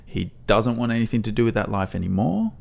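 Low-voiced man chatting casually about film. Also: big pitch swings, fast talker, vocal fry, a little mellow. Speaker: film podcast co-host.

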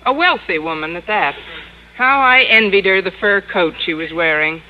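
A woman playing a wry, sharp-tongued schoolteacher in 1950s radio comedy, with a dry, deadpan delivery. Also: medium pitch, moderate pace, close to an everyday voice. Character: wry sharp-tongued schoolteacher, also posing as a rude French madame. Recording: dull noisy old radio recording.

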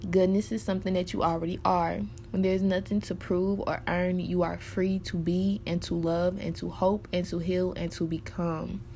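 A woman's voice: on the low side, level tone, moderate pace, vocal fry, few pauses, mellow, slight background noise. A woman in her early twenties, talking candidly and frankly in a personal monologue, swearing freely and rambling with many fillers. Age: early 20s. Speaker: podcaster.

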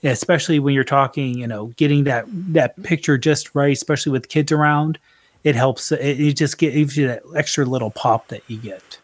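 A middle-aged man chats casually as a podcast co-host, circling back and fixing his words mid-sentence. His voice sits at an ordinary pitch and sounds dry and close, with no room around it. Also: brisk, no room sound. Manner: rambling, self-correcting, chuckles often. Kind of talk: casual chat.

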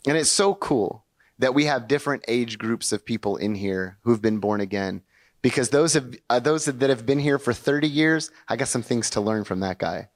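A young man preaching in a church sanctuary, his voice pitched in an ordinary middle register. He talks loosely and conversationally and keeps drawing the congregation in with questions. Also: animated, normal speed. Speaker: pastor. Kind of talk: sermon.

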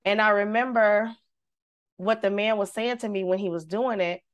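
A woman, a singer, telling a personal story in casual conversation, lively and devout, acting out the voices she quotes. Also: mid-range voice, moderate pace, dull audio. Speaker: guest.

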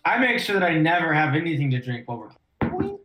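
A man's voice: on the high side, huge pitch swings, fast talker, bright, forceful tone. A man playing a tabletop game, chatting casually with jokey, sarcastic banter in a roomy, echoing recording.

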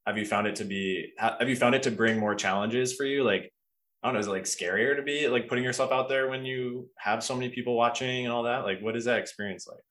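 A man's voice: medium pitch, quick pace, fairly bright tone. A man hosting a casual, chatty interview, speaking in a tentative, rambling and supportive way. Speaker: podcast host, interviewer.

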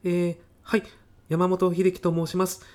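A man's voice: sits high, completely flat delivery, a little slow, darkish tone. Man acting as earnest, nervous student jobseeker. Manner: stiff, hesitant, rehearsed-sounding polite speech. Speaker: job applicant. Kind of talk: audio comedy sketch acting.

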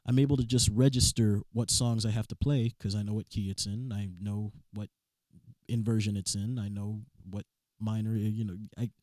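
A man with a rough, raspy voice, talking casually about music as a guest in a studio room. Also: fairly low pitch, moderate pace, dry recording. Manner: relaxed, rambling casual chat with restarts. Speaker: blues and soul musician, podcast guest. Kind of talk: casual chat.

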